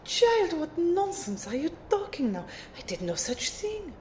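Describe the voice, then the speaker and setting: A woman's voice: very high-pitched, sing-song, unhurried, darkish tone, a little hiss or hum, exaggerated voice. A woman playing a haughty, cool-headed female mentor in an audio drama, speaking formally and precisely with condescension. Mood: dismissive, cool.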